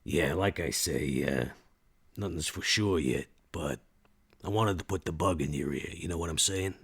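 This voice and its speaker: Male player as protective vampire mentor for a tabletop game; husky, deep, hesitant folksy drawl, gentle with the young. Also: normal speed, exaggerated voice. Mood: gentle, fatherly. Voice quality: gravelly, husky.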